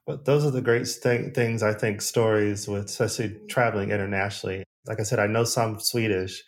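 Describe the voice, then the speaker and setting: A man's voice: lowish voice, fairly even intonation, a little fast. A man from the United States hosting a podcast, chatting casually and thoughtfully with his guest. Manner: reflective, with small restarts and sighs. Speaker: podcast host. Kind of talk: casual interview chat.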